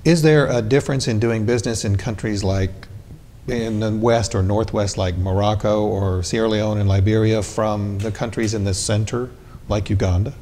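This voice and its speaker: A man hosting a television interview, asking measured, inquisitive questions. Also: steady tone, darkish tone. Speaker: TV interviewer, host. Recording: roomy reverb with faint rumble.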